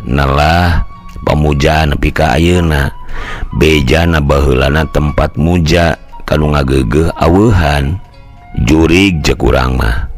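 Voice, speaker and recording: An older man with a West Javan Sundanese accent, telling a folk tale in a colloquial, conversational way. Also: very deep voice. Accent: Sundanese-speaking West Java. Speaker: storyteller. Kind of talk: traditional folk tale narration with acted dialogue.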